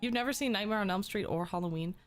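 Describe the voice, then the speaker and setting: A woman's voice: mid-range voice, quick pace, soft background music. Chatty young woman streamer, slightly sick. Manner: chatty, reads and answers chat, frequent ums. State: slightly sick, losing her voice. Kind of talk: casual livestream chat.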